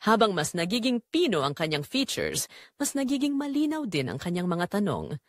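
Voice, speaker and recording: A woman performs a guilt-ridden Filipino mother telling her story in the first person for an audio drama. Her delivery is earnest and reflective, with animated, emotional swings in pitch. The sound is close and dry, like a private confession. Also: normal speed, no room sound. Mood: uneasy, guilty.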